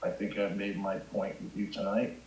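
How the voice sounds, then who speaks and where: An actor at a table read playing a stern high school teacher with a formal, measured, dryly sarcastic manner. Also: male voice, level tone, a little mellow, roomy sound, slight background noise, close to an everyday voice, poor audio. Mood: wry. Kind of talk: live comedy table read.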